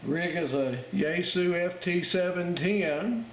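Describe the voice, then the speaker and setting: A man giving an informal ham radio lesson, talking in halting pieces as he explains a demonstration step by step. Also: high-pitched. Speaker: amateur radio instructor. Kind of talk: informal instructional narration over a demonstration. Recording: roomy, loud noise, radio clicks and tones.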